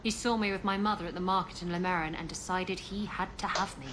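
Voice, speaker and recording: A woman's voice: lowish voice, steady tone, quick pace, fairly bright tone, a little hiss or hum, lightly acted. A woman playing a worldly, guarded adventuress in a video game, dry, wry and flippant. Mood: wry, bitter.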